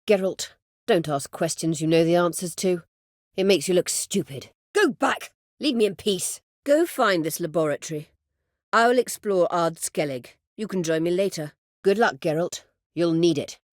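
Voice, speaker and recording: An actress playing an imperious, scheming middle-aged sorceress in a video game, speaking formally and with measured, biting sarcasm. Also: female voice, on the low side, dry recording, clean studio sound, close to an everyday voice. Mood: commanding, angry, then measured, persuasive. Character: imperious, scheming sorceress, proud, sardonic and vengeful. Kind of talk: video game character dialogue.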